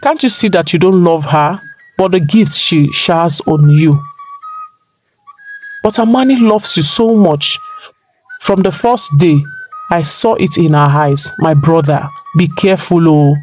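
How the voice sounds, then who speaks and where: An earnest, worried brother, voiced by a woman storyteller, cautioning and pleading in a folktale. Music plays softly behind the voice. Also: on the low side, measured pace, lo-fi sound, lightly acted. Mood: worried, warning. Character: earnest, worried brother warning a greedy fisherman.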